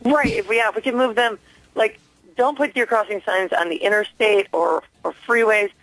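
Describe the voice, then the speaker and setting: A woman's voice: medium pitch, normal speed, a bit bright, dry recording. Earnest woman calling in by phone. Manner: earnest, hesitant, insistent. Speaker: radio call-in listener. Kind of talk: earnest spontaneous phone complaint. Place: phone call into a radio station. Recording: dry telephone line to radio studio.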